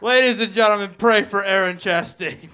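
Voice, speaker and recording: A man's voice: high-pitched, normal speed, sharp tone. A man hosting a live comedy show, teasing the comics as he brings them on and off. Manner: brash, teasing introductions.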